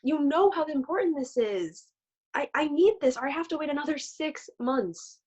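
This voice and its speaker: A woman's voice: high voice, lively intonation, moderate pace, darkish tone. A young woman playing an ambitious daughter in an amateur online drama, speaking earnestly. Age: young adult.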